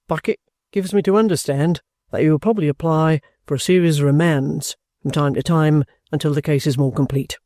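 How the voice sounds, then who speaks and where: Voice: medium pitch, dark tone, dry recording, clean studio sound, voice pushed down, lightly acted. A reader voicing a bluff, stubborn ex-soldier in an audiobook, courteous, plain-spoken and offhand. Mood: calm, reasonable. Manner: respectful, offhand, self-possessed.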